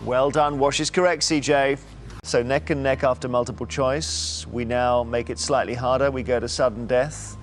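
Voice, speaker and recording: A middle-aged man hosting a quiz show, brisk and teasing as he reads questions in a TV studio. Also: mid-range voice, fairly even intonation, slight background noise.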